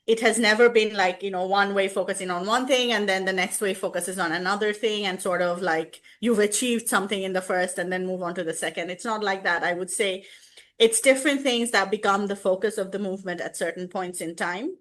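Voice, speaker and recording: A woman from Bangladesh answering an interview question in a measured way, with "you know" and "um" along the way. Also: mid-range voice, fairly bright tone. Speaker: guest, activist.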